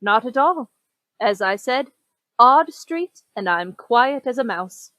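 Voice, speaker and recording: Victorian gentleman witness for radio drama, played by a woman: highish voice, formal, emphatic, dry close sound. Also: expressive, slow pace, dry recording, exaggerated voice. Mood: uneasy, discreet. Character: indignant, discreet Victorian gentleman recounting a strange encounter. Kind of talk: gothic radio play acting.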